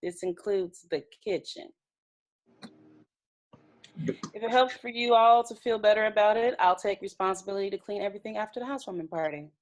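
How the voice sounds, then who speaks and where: A blunt, businesslike young housemate played by a woman in a comedy read. She lays things out plainly at an average pitch for a woman. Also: a little fast, close to an everyday voice. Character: matter-of-fact young woman housemate laying down terms. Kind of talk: remote table read of a comedy web series script.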